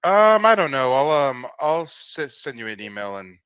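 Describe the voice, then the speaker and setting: A man hosting a live stream panel, brisk and upbeat as he moves the guests along. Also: medium pitch, very animated, fairly bright tone, smooth, no echo. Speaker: stream host.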